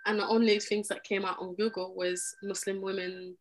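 A woman's voice: mid-range voice, flat delivery, normal speed, rough recording. A young adult woman from Egypt, a podcast guest, talking earnestly and with animation in a conversational interview, often restarting her sentences. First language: Arabic.

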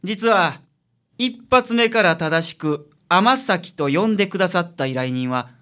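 A man's voice: high voice, lively intonation, unhurried, bright, forceful tone, exaggerated voice. A man playing a shrewd, blunt, laid-back male private detective with dry, probing delivery in a radio drama.

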